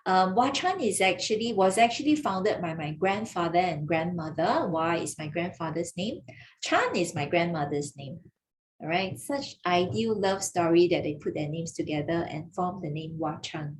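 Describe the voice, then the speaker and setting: A woman's voice: lowish voice, expressive, normal speed, a little hiss or hum. A woman from Malaysia, a gemologist hosting a webinar in a chatty, teasing way with frequent laughs, speaking over a video call. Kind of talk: casual webinar talk.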